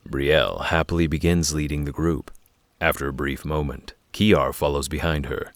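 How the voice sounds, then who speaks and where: A man's voice: rumbling low, steady tone, moderate pace, no room sound. A man narrating a scripted fantasy story for an audio drama.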